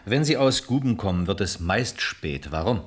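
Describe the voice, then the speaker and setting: An actor playing a police lieutenant who puts terse, pointed questions in a German radio crime drama. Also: male voice, fairly low pitch, expressive, normal speed, lightly acted. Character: police lieutenant, sharp-questioning deputy to the captain.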